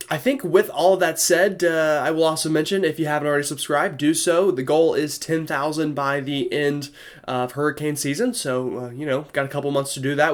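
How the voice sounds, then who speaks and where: A young man with a cold, sounding congested, giving a casual weather forecast with lots of fillers. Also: on the high side. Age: young adult. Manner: chatty, many fillers.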